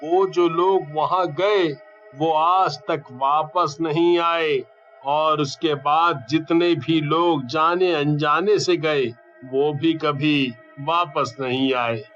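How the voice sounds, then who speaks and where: A solemn young villager voiced by a man in a cartoon story, speaking very slowly in a grave storytelling manner. The audio is dull, with faint music beneath. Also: a little high, fairly even intonation, a bit bright.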